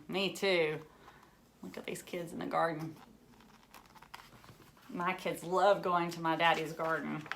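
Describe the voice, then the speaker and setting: A woman reads a children's book aloud at a slow, unhurried pace, in a mid-range voice. She sounds like a teacher, full of wonder, explaining things simply and chatting to young listeners as she goes. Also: medium pitch, fairly bright tone. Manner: enthusiastic, marveling, explains simply with questions to listeners.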